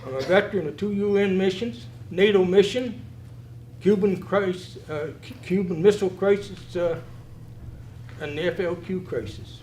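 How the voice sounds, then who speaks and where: A man's voice: high voice, moderate pace, a little mellow, echoey room, a little hiss or hum, poor audio. An older man and veteran, asking a blunt, indignant question from the floor of a public forum. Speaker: audience member and veteran. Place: community hall.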